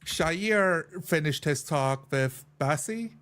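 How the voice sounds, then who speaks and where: A man in a casual discussion as a game player, talking haltingly as he thinks aloud. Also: fairly high pitch, lively intonation, fairly bright tone. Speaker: tabletop role-playing player.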